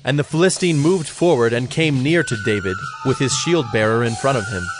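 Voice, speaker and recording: A man giving measured, solemn scripted narration for a dramatized Bible. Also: medium pitch, faint music behind.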